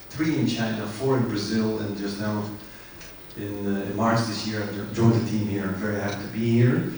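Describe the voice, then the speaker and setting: A middle-aged man from the Netherlands, introducing himself as a conference panelist. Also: fairly low pitch. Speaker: panelist, manufacturing director. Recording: distant echoey hall microphone, faint noise.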